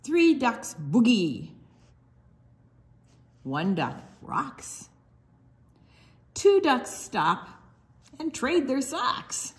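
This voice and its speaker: An older woman reads a picture book aloud for young children. Her pace is extremely slow and deliberate, and her pitch swings widely in a sing-song storytime delivery. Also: very animated. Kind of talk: expressive children's read-aloud with asides.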